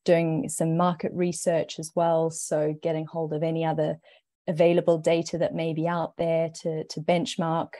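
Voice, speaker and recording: Low warm-voiced South African woman. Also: no echo. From Zimbabwe, raised in South Africa. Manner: hesitant, repeats words. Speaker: investment manager, panelist. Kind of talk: casual panel talk.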